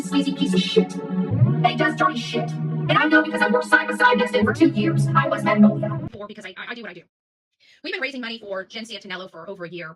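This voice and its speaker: A woman's voice: medium pitch, bright, forceful tone, low-quality recording. A middle-aged woman giving unscripted commentary to her online channel's audience, blunt and combative, with salty language.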